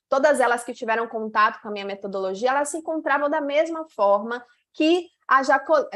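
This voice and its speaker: A middle-aged woman from Salvador with a Bahian accent, giving an online masterclass to women, checking in often with little tag questions and pausing as she goes. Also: a little high, fairly bright tone. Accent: Bahian Brazilian Portuguese. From Salvador, Bahia, Brazil. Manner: frequent "né" and "tá" tags, pauses and drawn-out stretches. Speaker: women's mentor.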